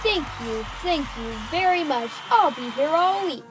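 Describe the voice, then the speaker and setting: A woman's voice: high voice, lively intonation, a little slow, loud music behind the voice, pitched above the performer's own voice, rough recording. A woman voicing a playful, curious young boy in a storybook reading. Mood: musing. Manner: playful, mock-dramatic.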